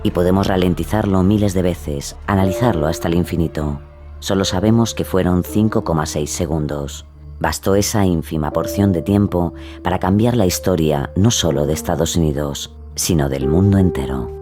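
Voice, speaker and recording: A man from the mainland school of narration, with a very low voice. He reads suspenseful documentary script deliberately, with music clearly behind him. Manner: deliberate, dramatic.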